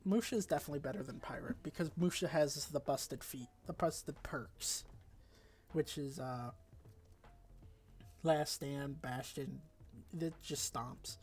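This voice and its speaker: A man with a stuffed-up, slightly raspy voice pitched a touch high runs through a game tier list in loose, rambling commentary. He keeps correcting himself mid-sentence, and faint music plays underneath. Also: a little slow, a little mellow. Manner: loose and rambling, with frequent fillers and self-corrections. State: congested. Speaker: gaming video creator.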